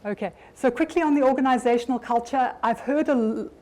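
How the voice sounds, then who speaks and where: A middle-aged South African woman speaking at a conference, her voice sitting a little high. She talks in an easy, conversational manner, stopping to restart her sentences now and then. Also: fairly quick, a little mellow. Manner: conversational, with frequent ums and restarts. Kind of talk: conference presentation. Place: conference room.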